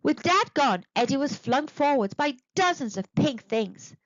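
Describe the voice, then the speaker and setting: A woman narrating a children's storybook in an expressive, deliberate read-aloud manner. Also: high voice, no echo, lo-fi sound. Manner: animated, deliberate.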